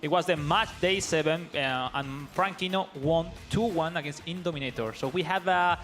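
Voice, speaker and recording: A man's voice: fairly high pitch, a bit bright, faint music behind. A man whose first language is Spanish, giving live esports analysis in eager, non-native English. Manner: eager, non-native phrasing, quick rising calls during play.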